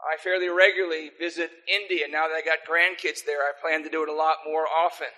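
Older male pastor, American accent, slightly high and bright voice, earnest sermon delivery. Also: level tone, brisk, low-quality recording. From South Africa. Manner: earnest, pleading in prayer. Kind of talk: sermon with opening prayer.

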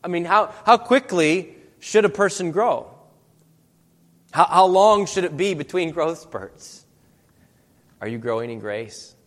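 A man's voice: high voice, lively intonation, a little slow, pauses often, fairly bright tone. A man giving a conversational sermon. He asks his listeners many rhetorical questions. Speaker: preacher. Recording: long hall reverb.

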